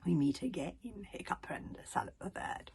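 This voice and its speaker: A vengeful, sneering male villain from a children's storybook, as a woman reader puts him on. He hisses and drawls with menace, swinging in pitch and often sinking to a breathy whisper. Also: lively intonation, moderate pace, darkish tone, exaggerated voice. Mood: smug menace.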